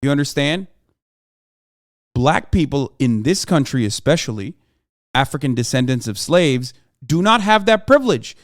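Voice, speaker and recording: A man's voice: medium pitch, very animated, normal speed, no room sound, clean studio sound. A man streaming live, explaining his view emphatically to his chat, often checking in with "okay?" and swearing for emphasis. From Turkey. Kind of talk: livestream commentary lecturing chat.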